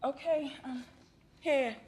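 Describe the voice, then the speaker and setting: An actress playing a calm, encouraging female therapist who speaks in a measured, reassuring way in a stage play. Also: high voice.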